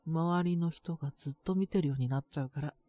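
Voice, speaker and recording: A woman playing a boyish, slightly mean but doting teenage girlfriend in a romantic audio drama, speaking in a soft, intimate, teasing way. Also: low voice, quick pace, no room sound, natural voice. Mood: affectionate. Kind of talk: Japanese romantic situation voice acting.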